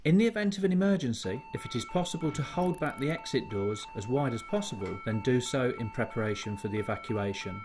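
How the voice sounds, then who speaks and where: A man reading a scripted voice-over for a healthcare evacuation training video, measured and formal. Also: mid-range voice, expressive, darkish tone, faint music behind. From United Kingdom.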